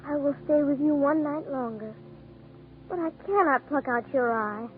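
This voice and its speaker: An actress playing a small, devoted swallow with gentle, poetic phrasing in a 1940s radio drama. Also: female voice, high voice, normal speed, narrow, tinny audio, lightly acted. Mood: tender, devoted. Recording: 1940s radio broadcast with music.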